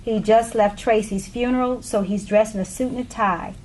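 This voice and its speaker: A woman's voice: fairly high pitch, fairly even intonation, normal speed, a little mellow, low-quality recording. A woman narrating a play, reading the scripted stage directions aloud. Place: live readers theatre room. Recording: faint background noise.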